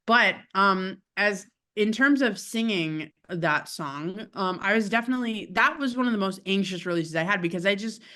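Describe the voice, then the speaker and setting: A woman with a low voice, a guest musician in a casual interview, chatty and laughing often with many fillers. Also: normal speed, few pauses, sharp tone.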